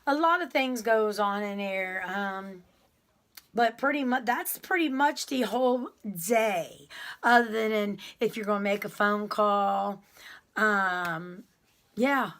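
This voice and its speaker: A woman's voice: medium pitch, takes it slowly. A woman telling her prison story to viewers in a casual, rambling way, full of ums and restarts. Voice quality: loud voice that carries.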